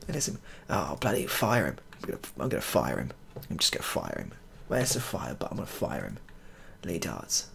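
Male gaming creator, casual and mock-exasperated, animated intonation with a gravelly edge, muttered repetitions. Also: rumbling low, a little fast, slight background noise. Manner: mock-exasperated, muttering, mild swearing, repeats phrases. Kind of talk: casual joking game playthrough commentary.

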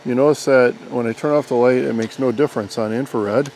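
A man's voice: mid-range voice, fairly even intonation, a little fast, darkish tone, rough recording. A man in his fifties with an American accent gives a plainspoken, explanatory demonstration and review in a conversational style. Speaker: product reviewer and drone pilot. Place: outdoors on an open lawn. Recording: outdoor recording with background noise.

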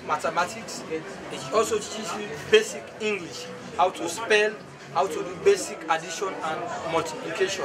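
A middle-aged man with a Sierra Leonean accent, answering interview questions in halting, deliberate phrases. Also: sits high. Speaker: sports association official being interviewed. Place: outdoor press conference in town. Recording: busy outdoor crowd noise behind.

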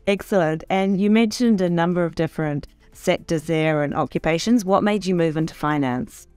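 A woman's voice: on the low side, normal speed, a little mellow, clean tone, no room sound, faint music behind. A woman in her thirties hosting an interview series, with a polished and upbeat delivery. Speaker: host. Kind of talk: scripted introduction and interview questions. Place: studio set with city backdrop. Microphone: desk microphone.

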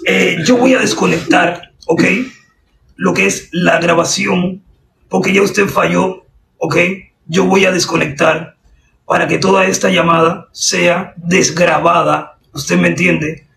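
Casting: Cuban woman, gravelly, straight-faced prank caller acting official, recorded in a roomy space. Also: on the low side, slow pace. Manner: deadpan, official-sounding. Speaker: prank caller posing as driving examiner. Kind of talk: prank phone call, improvised quizzing. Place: indoors at a desk. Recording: roomy, distant sound with faint noise.

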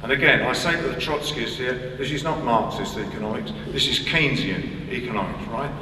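A man giving a deliberate, emphatic public speech at a lectern on stage, pausing to stress his points. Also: medium pitch, pushed and bright, slight background noise. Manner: emphatic, deliberate, with measured pauses and repetition. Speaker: speaker at a political conference. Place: large hall on stage. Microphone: stand mic at a lectern, heard from a distance. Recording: echoey hall, distant mic.

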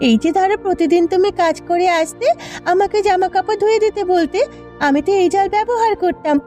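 A very high, soft-toned village wife from a cartoon. She is bossy yet hopeful and coaxes with emphasis, with music playing underneath. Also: a little fast. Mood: thoughtful. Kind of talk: Bengali cartoon dubbing.

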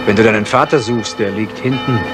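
A man's voice: fairly low pitch, fairly even intonation, fairly quick, loud music behind the voice, natural voice. A man playing an unbothered, talkative village witness in a radio crime drama, recounting in a hesitant ramble. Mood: casual, recounting. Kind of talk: 1970s German radio crime drama acting.

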